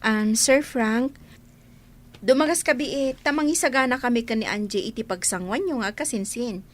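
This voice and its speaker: A woman's voice: a little high, moderate pace, natural voice. A woman acting a shy, deferential young housemaid in an Ilocano radio drama, hesitant, with an Ilocano accent. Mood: polite.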